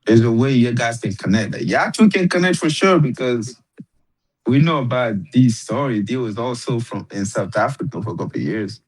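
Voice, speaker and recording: A man hosting a podcast as casual chat, speaking in slang and haltingly, often repeating his words. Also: mid-range voice, lively intonation, low-quality recording. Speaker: podcast host.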